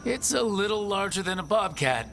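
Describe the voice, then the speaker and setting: An actor playing an easygoing, self-deprecating young fantasy hero with a casual, lightly joking manner. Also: male voice, high-pitched, animated, moderate pace, vocal fry, a bit bright, slight background noise, full character voice. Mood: light, game. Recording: music behind the voice.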